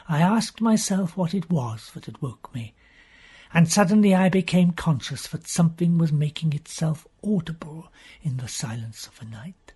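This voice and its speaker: A man reads a mystery story aloud as an audiobook narrator. His voice is husky and breathy, with very lively intonation. The delivery is theatrical and drawn out, dropping into hushed passages. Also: fairly high pitch. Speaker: audiobook reader.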